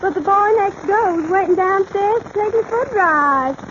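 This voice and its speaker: Very high girlish voice, naive belle, coy, gushing, old radio comedy, muffled noisy recording. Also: moderate pace. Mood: girlish, sweet. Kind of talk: 1930s-40s radio comedy acting.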